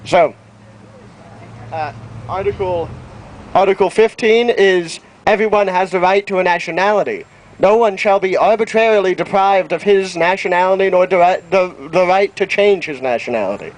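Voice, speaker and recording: A man speaking publicly outdoors, paraphrasing a document in a halting, deliberate way. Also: high-pitched, poor audio. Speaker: public speaker at a rights event. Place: outdoor town plaza. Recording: outdoor recording with faint street noise.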